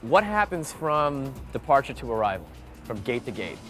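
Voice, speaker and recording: A man's voice: medium pitch, sing-song, moderate pace, fairly bright tone, soft background music. A man hosting an educational TV segment, moving between scripted narration and casual interview questions. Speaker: TV host and interviewer.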